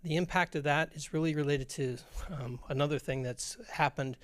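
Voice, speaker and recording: A hoarse man, a school district business director giving an informal budget presentation at a public meeting, with many fillers and restarts. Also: on the high side, steady tone, normal speed, a bit bright, dry recording, studio quality.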